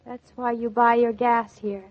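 A woman's voice: a little high, level tone, unhurried. A woman acting an anxious, inquisitive, tender young newlywed wife in a 1940s radio drama. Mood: earnest, moved. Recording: dull vintage 1940s radio recording.

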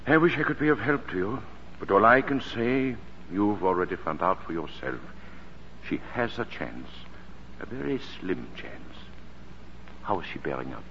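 Deep, expressive kindly professor. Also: male voice, normal speed, creaky. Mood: grave. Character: kindly older male professor, grave and fatherly. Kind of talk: 1940s radio drama acting. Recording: dull, noisy 1940s radio recording.

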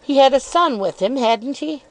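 An indifferent, careless young gentleman, read by a woman narrator, speaks in a light, offhand manner. A gravelly grain sits in the voice. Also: high voice, animated, moderate pace, voice pushed up. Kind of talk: audiobook reading of a novel.

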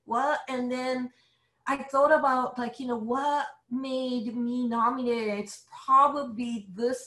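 Japanese-accented woman in her forties, chatty panel guest, echoey room over a call. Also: mid-range voice. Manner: chatty, with soft laughs and fillers. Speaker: illustrator guest.

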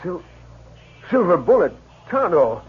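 A folksy stagecoach driver. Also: male voice, high-pitched, sing-song, measured pace, slight background noise. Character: folksy male stagecoach driver, blunt and sociable. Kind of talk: 1950s radio drama acting. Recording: lo-fi old radio recording.

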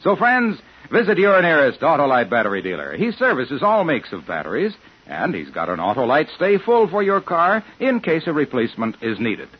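Male 1950s radio announcer; animated hard sell, emphatic, slightly rough tone, dull vintage recording. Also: a little high, normal speed. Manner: emphatic, hard-selling, mock-astonished. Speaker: commercial announcer. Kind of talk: 1950s scripted radio sales pitch.

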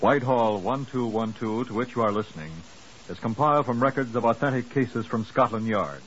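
A man announcing formally and in measured tones, in scripted 1950s radio style. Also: mid-range voice, fairly bright tone. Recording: dull, hissy 1950s radio recording.

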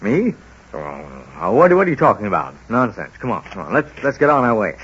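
A man playing a wise, kindly middle-aged small-town doctor in an old-time American radio drama, talking in a folksy, halting, gently firm way. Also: on the low side, big pitch swings, husky, highs cut off, exaggerated voice. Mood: gruff, kindly. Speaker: lead actor in a radio serial.

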